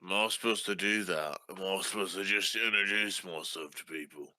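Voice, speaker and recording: A man's voice: sits low, normal speed, sharp tone. A man playing a tabletop roleplaying game, chatting casually in and out of character.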